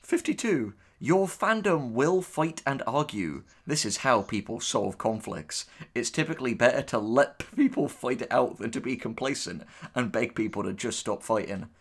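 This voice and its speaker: A man's voice: medium pitch, expressive, moderate pace, hardly any pauses, breathy edge. Sarcastic man giving casual reaction commentary. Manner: sarcastic, profane. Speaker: co-host, audio drama writer. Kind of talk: casual reaction commentary with read-aloud posts.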